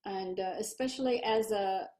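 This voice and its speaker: A woman hosting a podcast interview, asking measured questions. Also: mid-range voice, dark tone. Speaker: podcast host.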